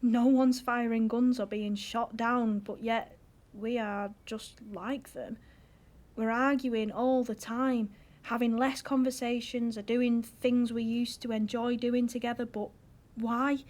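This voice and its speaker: Actress playing a high-voiced, troubled young British girlfriend. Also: flat delivery, slow, darkish tone. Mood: wistful, troubled. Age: young adult. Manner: emotional, earnest, pleading. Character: frustrated, hurt young girlfriend stuck in lockdown. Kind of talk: audio drama acting.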